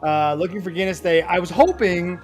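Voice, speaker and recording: A man with a notably high voice co-hosts a casual podcast. He chats in a relaxed, easygoing way. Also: normal speed, faint music behind. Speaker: co-host.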